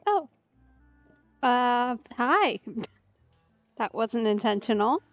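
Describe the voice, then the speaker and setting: A woman streamer gives informal let's-play commentary, chatty and musing aloud. Her voice is on the high side, recorded dry and a little dull. Faint music runs underneath. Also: expressive, extremely slow, no echo.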